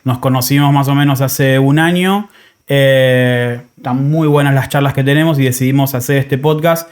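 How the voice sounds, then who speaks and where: A man's voice: medium pitch, steady tone, moderate pace. A man in his thirties with an Argentine accent, hosting a podcast in a casual, reflective way and often rephrasing as he goes. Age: 30s. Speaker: podcast host. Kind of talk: casual conversational podcast talk.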